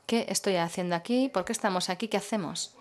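Woman interpreting a live talk in measured phrases. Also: mid-range voice. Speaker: simultaneous interpreter.

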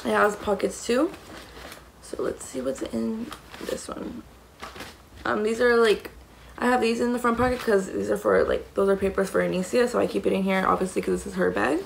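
Raspy young mom, chatty vlog. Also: female voice, mid-range voice, fairly even intonation, moderate pace, a little hiss or hum, rough recording. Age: young adult. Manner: chatty, rambling, many "um" and "like". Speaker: mom vlogger. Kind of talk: casual show-and-tell vlog. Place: small indoor room against a plain wall.

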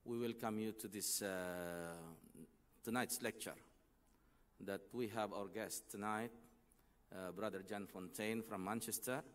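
A middle-aged man hosting a lecture, introducing the speaker in a measured way with many pauses, speaking through a desk microphone on an outdoor stage. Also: fairly low pitch, fairly even intonation. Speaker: host. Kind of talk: formal introduction. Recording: long reverb of a large open venue.

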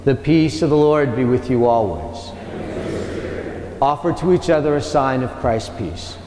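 A man serving as priest, leading the prayers of Mass in a measured, solemn way. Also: medium pitch, expressive, a crowd in the background. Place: large cathedral. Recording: echoey cathedral with long reverb, faint noise.

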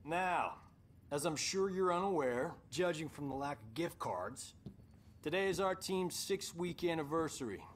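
An actor playing a cocky, wisecracking middle-aged fighter who leads a team in a video game cutscene. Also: male voice, a little high, expressive, unhurried, a little hiss or hum. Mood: wry, commanding. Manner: wry, sarcastic. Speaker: team leader.

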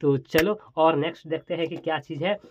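A man's voice: on the high side, fairly quick, darkish tone, dull audio, slight background noise. A man hosting a casual hands-on product showcase, chatty and exclamatory, often repeating his phrases as he demonstrates. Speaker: toy and gadget review host.

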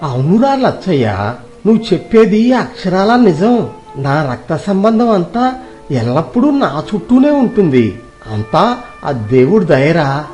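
A man acts out an affectionate older village uncle from a moral story. The voice is high for a man and soft-edged, with effusive, sentimental phrasing. Faint music plays underneath. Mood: contented, grateful. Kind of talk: dramatized moral story acting.

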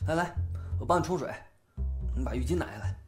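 An actor playing a flustered, excitable, blustering young man in a Chinese audio drama, swinging from muttering to shouting. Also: medium pitch, quick pace, soft background music, lightly acted. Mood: attentive.

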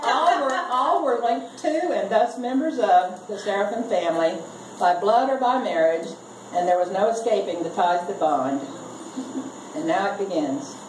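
A woman reads a humorous script aloud in an amused way, pausing deliberately between phrases. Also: mid-range voice. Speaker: family reunion speaker reading a comic Mad Lib aloud. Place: garage full of seated relatives. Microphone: camera a few meters away. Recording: distant camera mic, echoey garage, loud noise.

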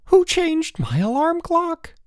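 A man voicing a fussy, high-strung schoolboy who wails in panic in a storybook reading. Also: big pitch swings, slow pace, no room sound, clean studio sound, exaggerated voice. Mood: panicked. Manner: high-strung, wailing delivery. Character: fussy, panicky schoolboy roommate, easily horrified.

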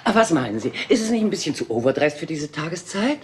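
Eine Schauspielerin, die eine alternde, eitle Hollywood-Diva spricht, spöttisch und theatralisch. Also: female voice, sits low, sing-song, fast, a little mellow, slight background noise. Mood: flirtatious. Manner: mocking, theatrical, dismissive. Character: aging, vain Hollywood film diva.

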